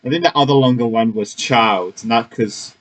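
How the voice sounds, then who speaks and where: A man's voice: medium pitch, moderate pace. A young man giving casual let's-play commentary, rambling and often trailing off. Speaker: gaming YouTuber. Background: game music.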